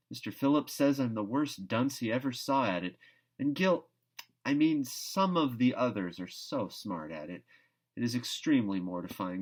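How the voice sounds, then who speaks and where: A man's voice: medium pitch, natural voice. A man voicing an earnest, imaginative schoolgirl who speaks in grand words with melodramatic emphasis, in a storybook reading.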